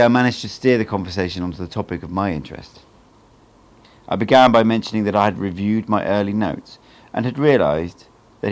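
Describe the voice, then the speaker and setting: A man reading a book aloud as an amateur audiobook narrator, in an expressive storytelling style. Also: deep voice.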